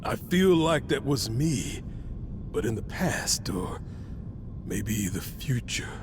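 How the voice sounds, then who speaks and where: A brooding male warrior from a video game, terse and earnest. His mid-pitched voice moves widely up and down, with a clear rumbling noise behind it. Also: big pitch swings, takes it slowly. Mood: troubled.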